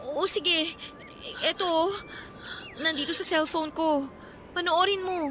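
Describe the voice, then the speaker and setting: Very high-pitched, flustered young student. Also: female voice, slow. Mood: hesitant, worried. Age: young adult. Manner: hesitant, flustered. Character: flustered, fretful young female student, loyal best friend. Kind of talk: radio drama acting. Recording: clear background ambient noise.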